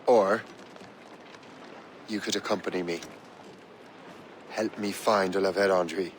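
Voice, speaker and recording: A man's voice: sits low, fairly even intonation, measured pace, slight background noise. A man playing a resolute, hard-edged seafaring captain and hunter in a video game cutscene. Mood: determined.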